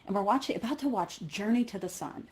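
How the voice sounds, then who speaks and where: A woman's voice: mid-range voice, lively intonation, fast talker. A woman giving a conference keynote with personal anecdotes, thinking aloud and often rephrasing as she goes. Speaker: keynote speaker.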